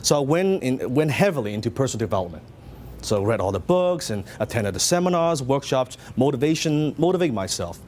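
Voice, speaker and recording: Animated man with a Hong Kong accent. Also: medium pitch, a little fast, slight background noise. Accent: Hong Kong Cantonese. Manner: animated storytelling, asks the audience questions. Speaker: business speaker. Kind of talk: motivational seminar talk.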